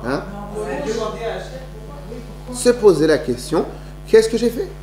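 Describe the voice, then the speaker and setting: Conférencier, voix un peu haute, français, salle réverbérante avec léger bourdonnement. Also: male voice, high voice, slow pace. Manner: lively storytelling, many restarts and rhetorical questions. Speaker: lecturer. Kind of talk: religious talk to an audience. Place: lecture hall. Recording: echoey room with faint hum.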